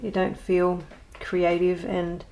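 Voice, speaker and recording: Woman vlogger, lowish voice with vocal fry, hesitant and rambling, self-deprecating. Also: flat delivery, slow, warm tone, rough recording. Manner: rambling and hesitant, many ums and restarts; self-deprecating, chatty asides. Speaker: colouring channel host. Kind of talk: casual show-and-tell vlog.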